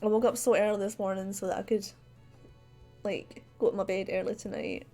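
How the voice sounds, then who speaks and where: A Scottish woman with a central belt accent talks easily into a desk microphone, mid-pitched and chatty. She rambles from thought to thought, full of "um"s and small laughs. Faint music plays softly behind her. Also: fairly even intonation, a little fast. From Scotland. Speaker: streamer. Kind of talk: casual livestream chat while drawing. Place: home desk. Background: faint background music.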